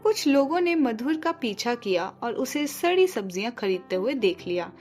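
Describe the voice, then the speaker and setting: A woman's voice: a little high, sing-song, normal speed, darkish tone. A woman narrating a scripted children's story as a storyteller. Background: background music.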